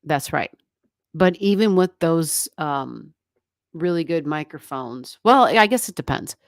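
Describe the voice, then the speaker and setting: Low-voiced rambling woman streaming from home. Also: animated, measured pace, dry recording, clean studio sound. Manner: rambling, chatty, laughs often. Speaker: astrology livestream host. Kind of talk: casual livestream chat with viewers. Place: home room, not soundproofed. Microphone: desk microphone, turned down halfway.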